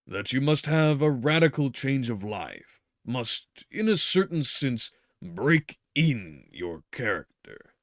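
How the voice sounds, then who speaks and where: A man's voice: medium pitch, sing-song, a little slow, few pauses, no room sound, clean studio sound, pitched above the performer's own voice. A man voicing a stern middle-aged doctor who lectures insistently and stresses his words. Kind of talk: reading a novel aloud.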